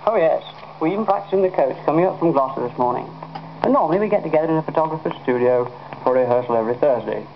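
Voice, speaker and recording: Quick, creaky-voiced man on old radio. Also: fairly high pitch, animated. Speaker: orchestra conductor being interviewed. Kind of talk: 1950s radio interview, rehearsed anecdotes. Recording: muffled, hissy 1951 acetate radio recording.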